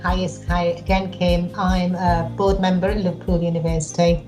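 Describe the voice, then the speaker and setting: Woman co-hosting, lowish flat voice, quick and friendly, faint music under it. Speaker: podcast co-host. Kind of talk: casual interview hosting.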